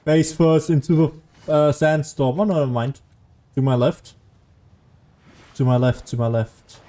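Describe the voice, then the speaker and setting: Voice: mid-range voice, a little slow, dark tone. A streamer giving casual, playful live commentary while playing a video game, reacting to what happens. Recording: faint game music behind.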